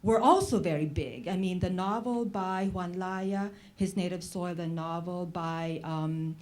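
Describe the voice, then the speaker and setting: Female panel moderator, fairly low voice, informal and emphatic, wanders and restates before reaching her point. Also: unhurried, darkish tone. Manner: digressive, emphatic, restates phrases. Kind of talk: informal literary conversation.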